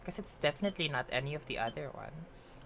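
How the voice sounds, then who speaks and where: A woman's voice: low-pitched, lively intonation, quick pace, vocal fry, a bit bright, slight background noise. A woman with a Filipino accent streaming a game, chatting playfully in a mix of English and Filipino and teasing herself. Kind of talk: casual livestream chat while gaming.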